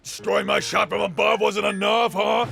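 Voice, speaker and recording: An irritable, paranoid creature of a shopkeeper acted by a man, blustering in a high, gravelly voice. The delivery is lively and indignant, cartoon anime parody style, over faint music. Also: normal speed, full character voice. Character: irritable, paranoid egg-laying animal-person fruit vendor.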